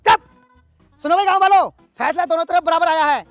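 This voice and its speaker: A man's voice: very high voice, brisk, a bit bright, no room sound, soft background music. A man playing a bossy village official in a comedy skit, scolding and declamatory.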